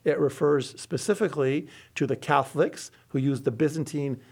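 A middle-aged man from Lebanon, a bishop, explaining church teaching in an interview with earnest, halting restarts. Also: a little high, darkish tone, breathy edge.

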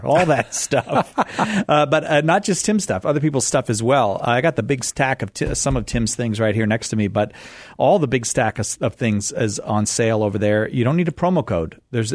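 A man hosting a live radio show in a chatty, joking, somewhat halting casual style. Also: medium pitch, no echo, studio quality.

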